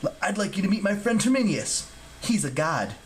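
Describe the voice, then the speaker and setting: Man as a young male hero, high voice, defiant, cheeky, animated, storybook reading. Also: brisk, a little hiss or hum. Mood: cocky. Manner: defiant, wisecracking.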